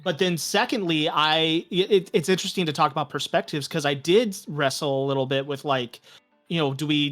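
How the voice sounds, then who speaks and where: Man, slightly high pitch, interview guest, conversational, reflective, faint music behind. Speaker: game master, podcast creator and guest. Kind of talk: casual interview chat.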